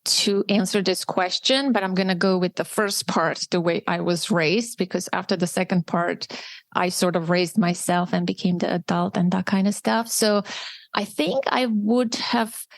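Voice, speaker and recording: A woman's voice: lowish voice, fairly even intonation, moderate pace, dry recording. A woman in her forties from Armenia, speaking candidly and reflectively in a personal conversation. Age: 40s. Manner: reflective, candid, with frequent restarts. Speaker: podcast host. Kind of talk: personal conversation, answering intimacy questions.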